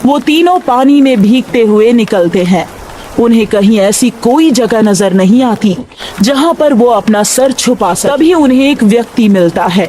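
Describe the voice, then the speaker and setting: A woman's voice: a little high, animated, normal speed, mellow, a little hiss or hum. A woman narrator reading a scripted Hindi moral story. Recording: music behind the voice.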